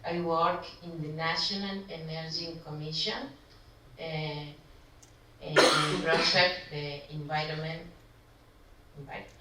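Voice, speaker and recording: A woman from Argentina who works at an energy commission, introducing herself slowly and hesitantly. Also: low voice, fairly even intonation. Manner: slow, hesitant. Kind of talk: self-introduction. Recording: echoey room from far off, faint noise.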